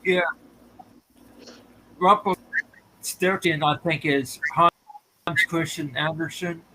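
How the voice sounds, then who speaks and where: A man with a high, bright voice talks slowly and hesitantly in a casual group chat, adding friendly chatty comments. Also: steady tone, a little hiss or hum, low-quality recording.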